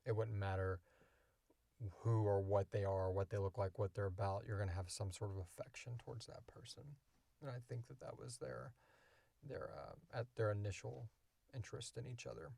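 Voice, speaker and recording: A man's voice: lowish voice, fairly even intonation, moderate pace, vocal fry, mellow, no room sound. A man hosting a podcast, answering questions in casual, unscripted talk from a small booth. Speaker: podcast host and creator. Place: small enclosed recording booth.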